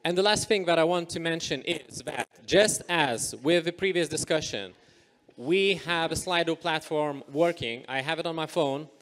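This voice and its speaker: A man moderating a live panel discussion on stage, upbeat and energetic, with many filler sounds. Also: on the high side, moderate pace. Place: event stage.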